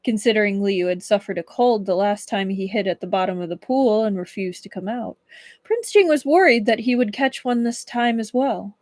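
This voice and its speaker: A woman livestreaming as a book reader, with expressive storybook narration and casual chat. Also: mid-range voice, normal speed, no room sound, clean studio sound.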